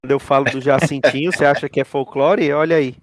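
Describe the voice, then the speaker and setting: A man's voice: mid-range voice, fairly even intonation, quick pace, no echo. A man with a Northeastern Brazilian accent hosting a podcast in a casual, colloquial way with regional slang. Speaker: podcast host. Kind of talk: casual chat.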